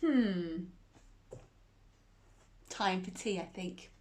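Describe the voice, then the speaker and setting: A woman voicing a young girl in a storybook, in a gentle, close-to-natural voice. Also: lowish voice, lively intonation, very slow. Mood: dreamy. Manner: gentle, then yelling in annoyance. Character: young girl, curious then fed up.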